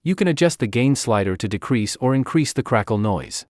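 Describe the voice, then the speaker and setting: A man giving a scripted software tutorial as a narrator, step by step. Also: mid-range voice, lively intonation, a little fast, a little hiss or hum.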